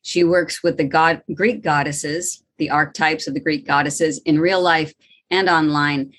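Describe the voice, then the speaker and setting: A woman's voice: deep voice, fairly even intonation, measured pace, fairly bright tone. A woman hosting an interview podcast, introducing her guest and encouraging her with short replies. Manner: friendly, encouraging with short backchannels. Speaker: podcast host.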